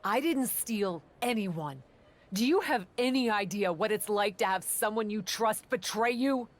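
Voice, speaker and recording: A woman's voice: medium pitch, lively intonation, unhurried, close to an everyday voice. A woman playing a brutal, defensive female pro wrestler with dry wit in a video game cutscene.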